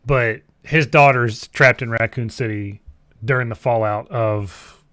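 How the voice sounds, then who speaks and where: Man, medium pitch, gaming co-host, casual explanatory commentary, blunt, critical, dry close sound. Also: unhurried, fairly bright tone, no room sound.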